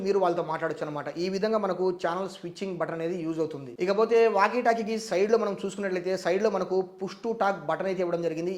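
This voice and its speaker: A man narrating an unboxing and review, explaining things step by step as the host. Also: high voice.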